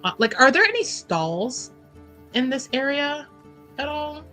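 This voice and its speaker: A woman's voice: high-pitched, very animated, a little slow, sharp tone, faint music behind. A woman chatting casually as a player in a tabletop roleplaying game, informal and thinking aloud, with the odd swear word.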